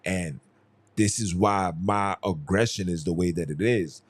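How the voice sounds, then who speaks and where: A man's voice: low voice, a little slow. A young man hosting a solo podcast, talking in a heated, emphatic way and often repeating his phrases. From Haiti. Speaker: podcast host. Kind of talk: casual solo commentary.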